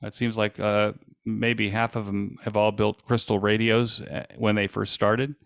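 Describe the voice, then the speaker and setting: A man with a gravelly voice answering questions informally as a presenter, explaining things with frequent hesitant pauses. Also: lowish voice, level tone, fairly bright tone, dry recording, clean studio sound. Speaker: presenter and podcast host.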